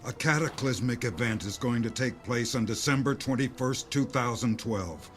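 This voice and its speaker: An actor playing an earnest, secretive doomsday believer who speaks gravely and insistently in a dramatic audio play. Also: male voice, medium pitch, normal speed, natural voice.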